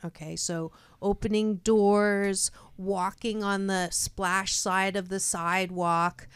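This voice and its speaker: A middle-aged woman hosts a radio talk show in a chatty, bubbly way, laughing often as she goes. Her voice is smooth and resonant at a middle pitch. The sound is dry and close. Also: level tone, takes it slowly, no room sound. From Canada. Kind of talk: casual radio chat with guests.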